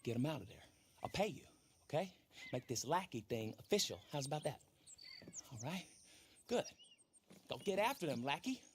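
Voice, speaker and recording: A man's voice: fairly high pitch, sing-song, normal speed, long pauses, a little hiss or hum. An actor playing a brash, boastful fifteen-year-old boy gang boss in a video game. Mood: bossy. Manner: brash, bragging, bossy. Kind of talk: video game character acting.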